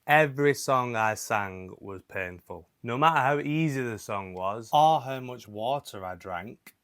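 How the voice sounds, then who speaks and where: Wry man, slightly croaky. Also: mid-range voice, fairly bright tone, no room sound, studio quality. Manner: wry, self-deprecating. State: slightly croaky from a sore throat. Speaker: gigging singer vlogging. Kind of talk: casual vlog narration.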